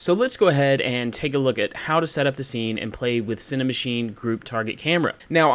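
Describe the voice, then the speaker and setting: Guy doing a casual software tutorial, normal pitch, informal step by step walkthrough. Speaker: tutorial host.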